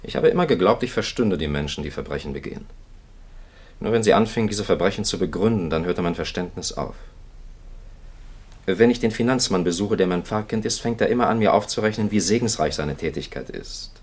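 An actor playing a weary, principled priest in a 1950s radio play, impatient and plain-spoken, with dry, earnest retorts. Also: male voice, sits low, animated, fairly quick. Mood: weary, insistent.

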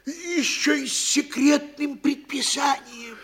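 An actor playing a frightened, whining provincial official in a studio radio play, with a fearful, tearful manner. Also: male voice, very high-pitched, slow pace, fairly bright tone, heavily acted. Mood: alarmed.

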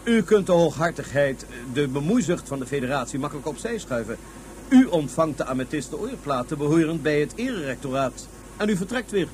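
An actor playing a courtly ruler of a small independent state on Mars, formal, halting and self-deprecating, in a science fiction radio drama. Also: male voice, on the high side, sing-song, darkish tone, slight background noise. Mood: proud, aggrieved.

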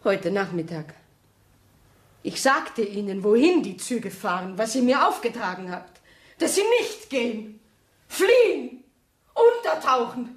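An actress in a German radio play, playing a shaken woman courier who speaks in a halting, intense way. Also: on the high side, sing-song. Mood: anguished, crying out. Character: Hansi, shaken woman courier bearing witness.

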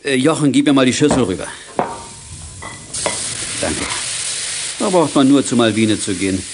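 A mid-pitched man's voice, played by an actor, measured and practical. He is the cautious adult in a children's radio play, and faint noise sits behind him. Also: lively intonation, natural voice. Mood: calm, practical. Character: sensible, cautious adult man minding young detectives. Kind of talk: 1980s German children's audio drama acting.

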